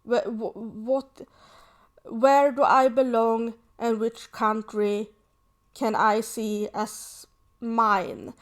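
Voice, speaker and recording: Woman hosting a solo podcast; slightly high-pitched, slow and thoughtful, pausing to find her words. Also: flat delivery, clean tone. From Sweden. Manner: earnest, reflective, pausing often. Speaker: podcast host. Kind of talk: personal reflective monologue.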